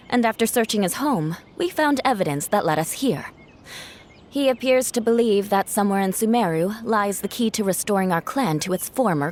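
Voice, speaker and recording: A woman's voice: mid-range voice, darkish tone, a little hiss or hum, natural voice. An actress playing a proud, composed young woman knight with a dry, haughty manner, in a game. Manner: poised, dryly haughty. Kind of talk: game voice acting.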